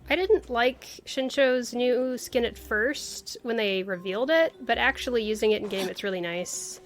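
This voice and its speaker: A woman's voice: a little high, moderate pace. A woman streamer giving casual live game commentary, thinking aloud in short questions and asides. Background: faint game music.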